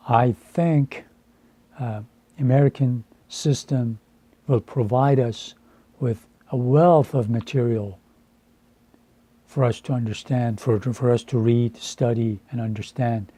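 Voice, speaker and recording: A man in his fifties from Iran, a Farsi speaker, speaking deliberately and earnestly to camera with frequent hesitations. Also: mid-range voice, steady tone, warm tone, dry recording. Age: 50s. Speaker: translator. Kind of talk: earnest personal address to camera.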